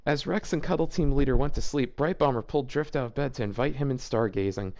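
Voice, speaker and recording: A man's voice: mid-range voice, fast talker, darkish tone. A man reading fan fiction aloud as a YouTuber, breaking off into casual, exasperated and baffled commentary.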